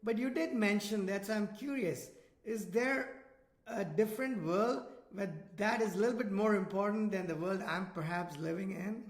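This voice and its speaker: A man's voice: sits high, moderate pace. A man with an Indian accent hosting a podcast interview, talking in a loose, conversational way. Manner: conversational, loose, self-deprecating asides. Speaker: podcast host. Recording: roomy echo.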